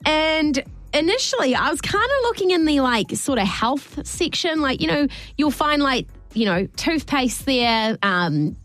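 A New woman co-hosting a radio show, her voice high and bouncing all over the place as she tells a story. She rambles, restarts and laughs easily, with a slightly worn throat. Soft music plays faintly underneath. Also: very animated, unhurried, no echo. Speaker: radio co-host. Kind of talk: casual on-air radio chat.

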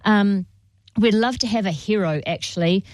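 A woman's voice: mid-range voice, normal speed, a little mellow, no echo. Upbeat New woman radio host. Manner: upbeat, persuasive appeal. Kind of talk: fundraising drive presenting. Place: radio studio.

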